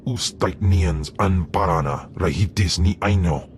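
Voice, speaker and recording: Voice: deep voice, measured pace, darkish tone, a little hiss or hum. An actor voicing a brutish alien creature in a video game, speaking a guttural invented tongue. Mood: gruff.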